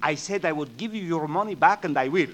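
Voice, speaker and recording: An actor playing a dismissive, argumentative New York landlord in a 1940s radio comedy. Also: male voice, a little high, expressive, fairly quick, a bit bright. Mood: blustering. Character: brash, blustering New York landlord, fast-talking and dismissive.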